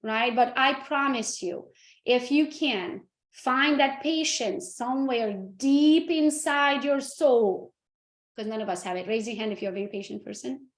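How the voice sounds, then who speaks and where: A woman's voice: fairly high pitch, unhurried. A woman hosting a training session who keeps checking in with her audience. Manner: checks in with the audience using tag questions. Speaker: host and real estate team leader. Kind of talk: live training talk. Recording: roomy echo.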